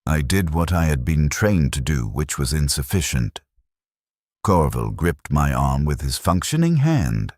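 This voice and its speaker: A man narrating a scripted first-person war story in short, measured sentences with a detached, solemn delivery. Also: rumbling low, very animated, dry recording.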